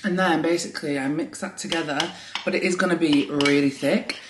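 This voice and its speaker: Brief: deep-voiced woman in her twenties, gushing vlog chat, echoey room, camera set back. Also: fairly even intonation, a little fast, far from the mic, noisy background. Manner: chatty, gushing, giggly. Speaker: vlogger. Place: home bathroom at night, then a bright kitchen. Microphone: camera on a low stand.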